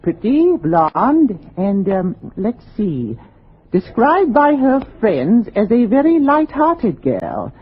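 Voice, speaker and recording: An actress playing an anxious, quick-tempered middle-aged housewife in a 1940s radio drama, in a fretful, hesitant, theatrical way. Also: female voice, mid-range voice, wildly swooping pitch, slightly rough, full character voice. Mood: horrified. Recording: dull, noisy 1940s radio recording.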